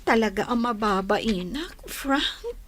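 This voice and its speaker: Woman acting as high-voiced shy young village sweetheart. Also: sing-song, takes it slowly, a bit bright, exaggerated voice. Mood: humble, earnest. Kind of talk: Ilocano comedy radio drama acting.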